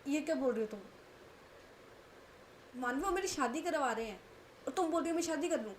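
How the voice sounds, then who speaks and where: A woman voicing a distraught, indignant young woman in a told story, speaking high and shrill. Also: expressive, fairly quick, roomy sound, slight background noise. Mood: shocked. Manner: high-pitched, shrill outburst.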